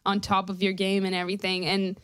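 A woman's voice: mid-range voice, completely flat delivery, fairly quick, a bit bright, clear, resonant tone, no echo. Tentative woman chatting, dry sound. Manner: tentative, peppered with "like". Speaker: talk show panelist. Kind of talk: casual reflective chat.

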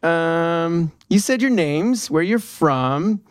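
A man's voice: fairly high pitch, animated, slow, dry recording. A man from Southern California hosting a casual radio show in a studio, playful and teasing his guests.

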